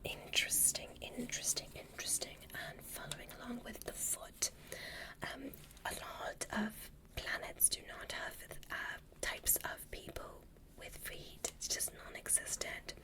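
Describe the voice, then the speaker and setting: A woman voicing a calm, curious alien doctor in an ASMR roleplay, speaking in a gentle, breathy whisper with an unhurried, formal manner. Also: natural voice, rough recording. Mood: curious, clinical. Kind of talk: ASMR medical exam roleplay.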